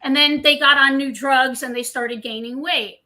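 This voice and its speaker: Bright, high-voiced older woman telling her story. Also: fairly even intonation, moderate pace. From Scotland. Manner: candid, chatty storytelling. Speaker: guest dietitian. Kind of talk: casual interview chat.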